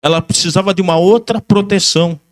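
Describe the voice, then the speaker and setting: High-pitched Brazilian man lecturing. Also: fairly even intonation, fast talker. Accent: Brazilian Portuguese. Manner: emphatic, repeats key phrases. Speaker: religious teacher and lecturer. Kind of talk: history lecture mixing reading aloud and commentary.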